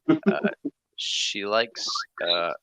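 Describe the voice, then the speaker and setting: A man's voice: lowish voice, lively intonation, extremely slow, bright, forceful tone, smooth. A man hosting a casual livestream chat, upbeat and reading from the chat. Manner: upbeat, says awesome a lot. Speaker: host. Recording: dull lo-fi sound.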